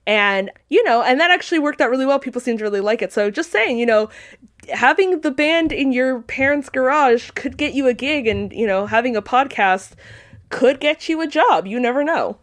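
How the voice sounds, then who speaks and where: A woman's voice: sits high, animated, normal speed. Talkative woman in casual podcast chat. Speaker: podcast guest, pop culture commentator.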